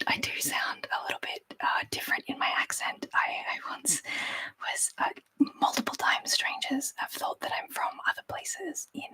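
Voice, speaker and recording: Whispering Australian woman, bright and breathy ASMR. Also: rough recording. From Canberra, Australia. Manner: rambling, repeats words softly. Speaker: ASMR livestream host. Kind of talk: whispered ASMR, casual live chat.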